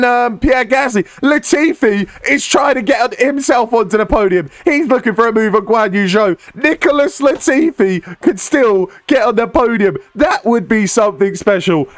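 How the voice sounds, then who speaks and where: A young man commentating his own racing game in a rapid, excited way, shouting at the finish and losing his voice. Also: extremely high pitch, no room sound. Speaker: gaming YouTuber. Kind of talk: excited race commentary over gameplay.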